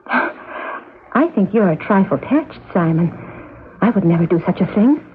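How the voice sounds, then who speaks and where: An actress in an old-time radio drama playing a prim, touchy young daughter who speaks with indignant, insistent emphasis. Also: female voice, medium pitch, expressive, measured pace, close to an everyday voice. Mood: indignant, defensive. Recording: muffled noisy vintage radio recording.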